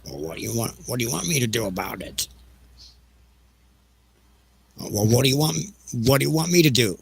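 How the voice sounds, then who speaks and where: A man's voice: mid-range voice, animated, fast talker, gravelly, breathy edge, dry recording, full character voice. A man playing an evasive, petulant railroad executive in an audio play, stammering and blustering defensively.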